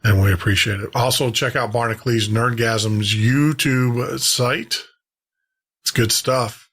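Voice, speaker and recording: A man's voice: mid-range voice, fairly even intonation, measured pace, a bit bright, husky. Casual male radio host. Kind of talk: casual sign-off talk to listeners.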